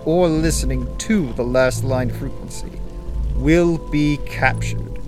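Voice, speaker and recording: A man playing a tyrannical sci-fi overlord, giving a formal, measured propaganda broadcast in a radio drama, with music behind. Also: medium pitch, lightly acted. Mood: coldly reassuring.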